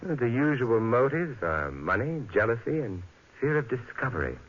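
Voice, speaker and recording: An actor playing a suave, unflappable amateur sleuth in a 1950s radio drama, dry and teasing. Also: male voice, medium pitch, very animated, moderate pace, fairly bright tone. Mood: wry, probing. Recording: muffled vintage radio broadcast recording.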